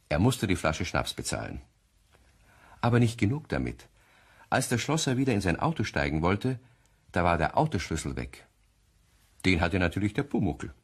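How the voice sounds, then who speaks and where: Narrator: man, low husky voice, lively intonation, wry storyteller for an audio play. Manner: wry storytelling with rhetorical questions. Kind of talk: scripted radio play storytelling.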